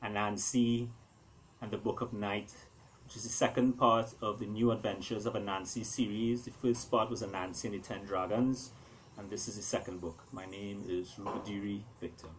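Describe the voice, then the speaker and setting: A man reading his own fiction aloud as a storyteller, with theatrical pauses and emphasis. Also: fairly low pitch, fairly even intonation, a little hiss or hum, low-quality recording. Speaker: author reading own novella. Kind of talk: storytelling, dramatic scripted narration.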